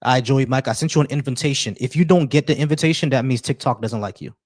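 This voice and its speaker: A man chats loosely on a live stream, welcoming viewers and trailing into little restarts. His voice sits at an average pitch for a man. The tone stays casual and unhurried. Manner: laid-back, shout-outs to viewers, many restarts. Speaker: livestream host.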